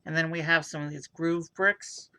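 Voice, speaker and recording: A hoarse man with a raspy voice casually narrating a toy car build on a stream, rambling with many fillers. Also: a little high, steady tone, moderate pace, sharp tone, a little hiss or hum. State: hoarse from overuse. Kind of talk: casual live-stream commentary.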